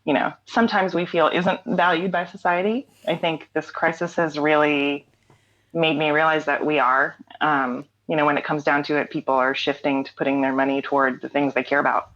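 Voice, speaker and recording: A woman's voice: deep voice, steady tone, normal speed, fairly bright tone, dull audio. A woman guest speaking candidly in a casual interview, reflective, with frequent ums and restarts. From North Carolina. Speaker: interview guest, singer-songwriter.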